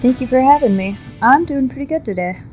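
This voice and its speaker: A woman's voice: high-pitched, lively intonation, a little fast, a little mellow, faint music behind. Chatty young woman. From Texas. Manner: chatty, laughs often, loose storytelling with fillers. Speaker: guest. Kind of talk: casual interview.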